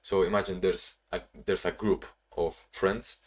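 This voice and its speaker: A young man talking in a casual, reflective diary-style vlog, halting with frequent restarts and sounding a bit sleepy. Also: low voice, flat delivery. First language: not English; Portuguese not first language either. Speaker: vlogger.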